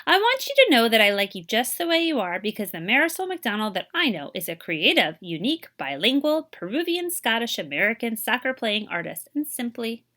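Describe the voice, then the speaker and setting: A woman reads as a caring schoolteacher in a children's book, a little fussy but gentle. The voice is on the high side and bright, with careful, instructive phrasing. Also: big pitch swings, brisk, hardly any pauses. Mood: affectionate.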